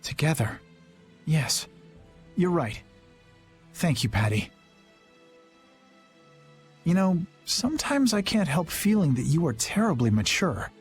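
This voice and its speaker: A man's voice: a little high, big pitch swings, slow, long pauses, slightly rough, exaggerated voice. A man voicing a principled, earnest young knight in an anime game dub, formal and resolute. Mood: warm, hopeful. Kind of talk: English-dubbed anime game voice acting. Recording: music under the voice. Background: music.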